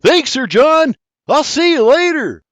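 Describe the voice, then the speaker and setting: An eager young Irishman, bashful and earnest in love, as a male narrator puts him on. The voice sits high and lively with a husky edge. The sound is close and dry. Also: normal speed, no echo, heavily acted.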